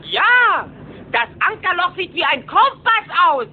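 A woman's voice: very high-pitched, sing-song, a little slow, exaggerated voice. A woman voicing a studious young boy duck in a cartoon audio play, on lo-fi cassette. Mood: alarmed. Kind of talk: cartoon voice acting. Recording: tinny lo-fi cassette.

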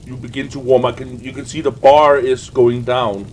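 A man's voice: mid-range voice, flat delivery, normal speed, a little hiss or hum. A young man with a sniffly cold giving casual, rambling, sweary gaming commentary as host. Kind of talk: casual let's play commentary. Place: indoors at a desk, webcam view. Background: faint game music.

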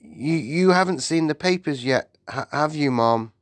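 A woman's voice: lowish voice, measured pace, no room sound, studio quality, voice pushed up, natural voice. A woman voicing a timid, deferential palace maid in an audiobook, stammering and quivering as she speaks. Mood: shaken, stammering.

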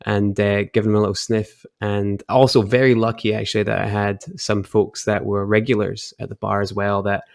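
A young Scottish man with a gravelly, low-pitched voice tells stories in an easygoing, chatty way. He sounds close and dry, speaking into a headset mic on a video call from home. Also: normal speed, few pauses, dry recording. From Scotland, Edinburgh. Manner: chatty, relaxed, laughs often. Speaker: guest, whisky brand ambassador. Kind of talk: casual storytelling chat.

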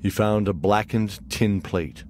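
A man's voice: on the low side, measured pace, darkish tone, a little hiss or hum, natural voice. A man narrating a scripted fantasy audio drama as its storyteller. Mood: measured storytelling.

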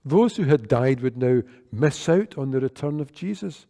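An older man delivers a sermon at a church lectern, earnest and measured in his delivery. His voice is mellow and dark, and a long reverb trails off the sanctuary walls behind each phrase. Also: mid-range voice, animated. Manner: measured, earnest preaching with gestures. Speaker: worship leader. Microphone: lectern microphone.

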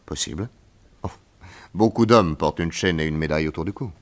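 An actor playing a shrewd, calm male detective who questions witnesses in a measured, probing way, in an old radio drama. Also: sits low, close to an everyday voice.

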